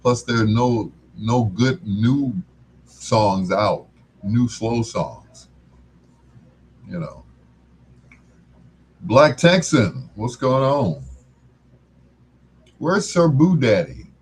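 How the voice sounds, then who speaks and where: An older man hosting a music livestream, chatting casually in a laid-back, folksy, unhurried way. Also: medium pitch, big pitch swings, slight background noise, rough recording. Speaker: livestream music show host.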